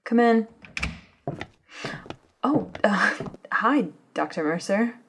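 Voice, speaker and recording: A woman playing an eager, polite female office and lab assistant in a soft-spoken ASMR roleplay, with a breathy, murmuring, slightly hesitant delivery. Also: mid-range voice, lively intonation, very slow, over music, full character voice. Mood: eager, deferential. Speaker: voice actor in scripted ASMR roleplay.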